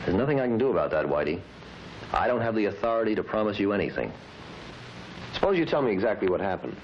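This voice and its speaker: Investigator, low voice, lively inflection, firm and probing, old film drama. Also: male voice, fast. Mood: firm, reasoning. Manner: methodical, probing. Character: firm, patient male law officer questioning a suspect. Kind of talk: mid-century training film acting. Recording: noisy old film soundtrack.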